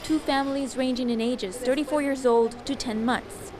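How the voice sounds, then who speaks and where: A woman news reporter narrating a story in measured voice-over. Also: high voice, steady tone, noisy background.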